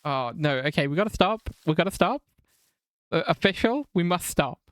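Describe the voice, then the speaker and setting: A woman's voice: fairly low pitch, expressive, moderate pace, dry recording. A woman with a mixed southern and northern English accent, chatting casually while streaming a game and swearing now and then. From England. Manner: chatty, swears casually, reads chat aloud.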